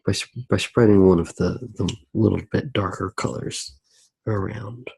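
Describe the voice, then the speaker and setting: Deep gravelly guy doing asmr art tutorial, super hushed, takes his time, pauses a lot, close mic. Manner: hushed, unhurried, halting, with pauses mid-sentence. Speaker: ASMR artist, host. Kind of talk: ASMR drawing tutorial, narrated while working.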